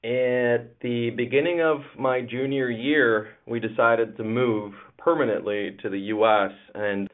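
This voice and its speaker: A man's voice: mid-range voice, steady tone, unhurried, few pauses, phone-quality sound. A man in his thirties from Puerto Rico and the US East Coast, telling stories casually and self-deprecatingly as an interview guest. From Puerto Rico, Dominican Republic and US East Coast. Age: 30s. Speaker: podcast guest, Navy veteran in commercial real estate.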